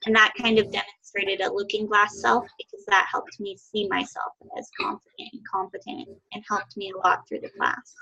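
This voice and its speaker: A young woman giving a class presentation over a video call, with a high voice and a slight lisp. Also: level tone, normal speed, vocal fry, hardly any pauses, bright, forceful tone, muffled recording. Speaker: student presenter.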